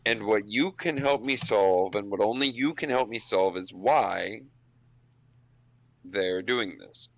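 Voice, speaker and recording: A man with a fairly low voice plays in a tabletop roleplaying game. He speaks in character in short, measured phrases, deliberate and improvised. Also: poor audio.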